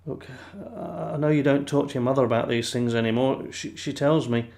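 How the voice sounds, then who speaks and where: Male actor, elderly grandfather, gentle, chatty, medium pitch, halting reflective delivery, audio play. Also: creaky, lightly acted. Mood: fond, coaxing. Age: older.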